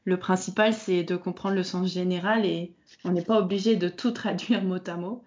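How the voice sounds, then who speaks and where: A woman's voice: on the low side, steady tone, normal speed, a little mellow. Woman podcast host, slightly muffled sound. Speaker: podcast host, French teacher. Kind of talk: conversational podcast interview. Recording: muffled, band-limited podcast audio.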